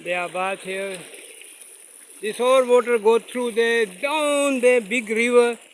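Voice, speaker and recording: A man whose first language is Urdu, vlogging outdoors by a mountain stream in halting, simple English with many fillers, filmed on a phone without a separate mic. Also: very high voice, slight background noise. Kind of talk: casual vlog narration. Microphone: phone camera, no separate mic.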